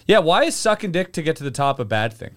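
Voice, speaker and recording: Profane joking man, slightly high voice. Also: very animated, fast. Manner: profane, laughing, swears often. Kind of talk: crude casual banter.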